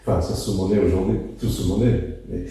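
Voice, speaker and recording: A man in his sixties from Morocco answers interview questions reflectively, in a halting, deliberate way, repeating words for emphasis. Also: deep voice, steady tone, warm tone. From Morocco, moved to France at thirteen. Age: 60s. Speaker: interview guest, physics teacher. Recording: very echoey room, distant mic.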